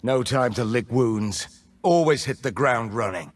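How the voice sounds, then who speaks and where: A defiant rebel mage from a video game, husky and deliberate. He is a bitter, roguish ex-prisoner who swings theatrically through his taunts, measured in pace and wide in pitch. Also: animated. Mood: grim, resolute. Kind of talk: video game character voice lines.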